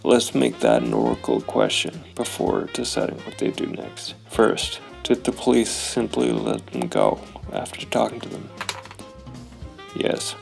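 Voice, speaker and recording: A man narrating his solo tabletop role-playing game, thinking aloud in a deliberate, analytical way. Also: lowish voice, huge pitch swings, vocal fry, a little mellow, soft background music.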